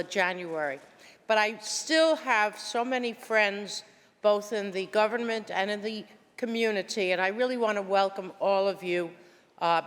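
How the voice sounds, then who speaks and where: A woman senator making informal, halting floor remarks with frequent pauses. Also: mid-range voice, fairly bright tone. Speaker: state senator. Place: large indoor legislative chamber. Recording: echoey hall with long reverb.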